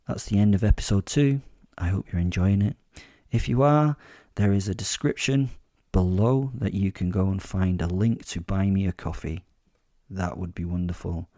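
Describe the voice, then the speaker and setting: A man's voice: sits low, moderate pace, warm tone, dry recording, studio quality. A man hosting a podcast, speaking informally and directly to his listeners. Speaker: podcast host and narrator.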